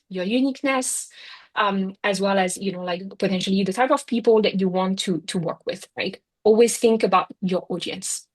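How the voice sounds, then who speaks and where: A middle-aged woman with a French accent gives an online workshop talk in a chatty way, filling her sentences with "you know, like" and "right?". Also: medium pitch. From France. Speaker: workshop speaker. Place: Singapore.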